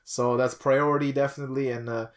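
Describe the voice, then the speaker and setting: A man's voice: medium pitch, flat delivery, quick pace. A young man hosting a podcast, chatting informally and teasing in a small room. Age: young adult. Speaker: podcast host. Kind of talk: casual podcast chat. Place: small attic room, indoors.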